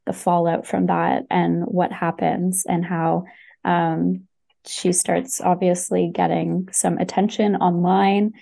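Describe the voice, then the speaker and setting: A woman's voice: fairly low pitch, little pitch movement, slow pace, gravelly, mellow. A woman chatting casually and enthusiastically on a podcast, with many fillers and restarts. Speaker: podcast guest recommending books. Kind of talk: casual chat.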